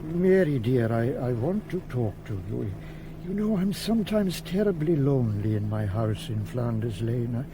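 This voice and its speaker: An actor playing a suave, coaxing British gentleman employer in a radio drama. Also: mid-range voice, animated, moderate pace, noisy background, lightly acted. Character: smooth-talking, lonely gentleman employer courting his secretary.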